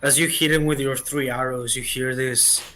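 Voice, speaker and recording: A man running a tabletop roleplaying game as game master, talking in a hesitant, halting way. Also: mid-range voice, fairly even intonation, sharp tone, faint music behind.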